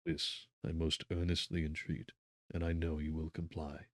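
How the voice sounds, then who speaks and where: Man acting a tormented, deep-voiced young gentleman. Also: flat delivery, normal speed, dry recording, clean studio sound. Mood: grave devotion. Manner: impassioned, theatrical, grave. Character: tormented young gentleman, guilt-ridden, earnest and devoted.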